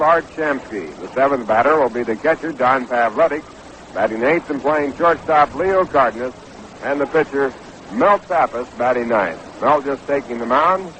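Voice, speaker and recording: A slightly noisy vintage radio broadcast carries a man with a medium-pitched voice. He works as a 1960s baseball play-by-play announcer at the ballpark. His delivery is measured and orderly, in the classic sports commentary style of the era. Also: fairly bright tone.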